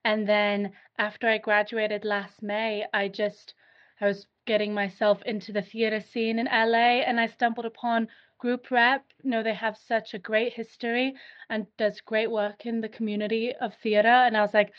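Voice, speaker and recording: Welsh woman in her twenties, bright-pitched guest actress, earnest, lo-fi sound. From North Wales. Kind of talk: casual interview chat. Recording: slightly dull lo-fi audio.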